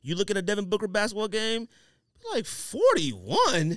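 A man's voice: sits high, wildly swooping pitch, moderate pace, no echo, studio quality. A man hosting a casual sports and sneaker podcast, talking in slangy, teasing banter with friends. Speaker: podcast host.

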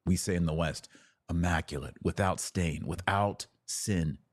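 A man hosting a podcast, giving an emphatic theological teaching talk with rhetorical questions and dramatic pauses. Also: low voice. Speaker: podcast host.

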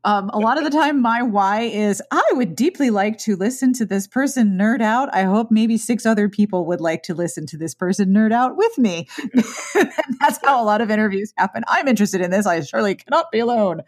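A woman's voice: medium pitch, animated, brisk, hardly any pauses, a little mellow. A woman hosting a podcast interview, chatting enthusiastically and poking fun at herself. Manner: enthusiastic, self-deprecating, chatty. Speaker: podcast host. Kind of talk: conversational interview.

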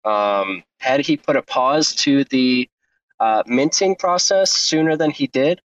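A man's voice: mid-range voice, animated, measured pace, no room sound. A man in a casual online crypto discussion, explaining steadily with frequent ums and uhs. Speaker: crypto space co-host. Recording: dull, lo-fi online audio room.

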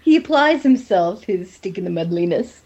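A woman chatting casually as a podcast host in a giggly, animated way. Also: medium pitch, normal speed, highs cut off, slight background noise. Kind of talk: casual TV recap chat.

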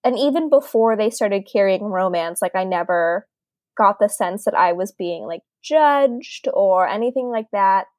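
A woman's voice: medium pitch, animated, measured pace, no echo, studio quality. A woman in her late twenties co-hosting a podcast in a casual, chatty way, laughing often and peppering her talk with "like.". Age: late 20s. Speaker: podcast co-host.

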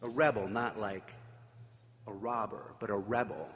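A man preaching a reflective sermon, emphatic at times and pausing for effect. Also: on the low side, steady tone, fairly bright tone, long reverb tail. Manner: reflective, emphatic with dramatic pauses.

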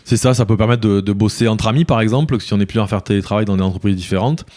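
A man answering interview questions conversationally as a local mayor, halting and repeating words as he explains. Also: lowish voice, warm tone. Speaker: interview guest, village mayor.

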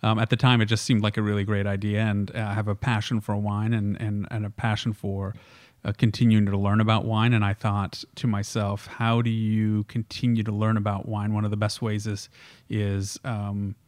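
A middle-aged man from Switzerland whose first language is Swiss German, speaking as an interview guest in halting, filler-heavy casual talk. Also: on the low side, little pitch movement, darkish tone, no room sound. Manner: halting, many fillers and restarts. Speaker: guest, wine school founder.

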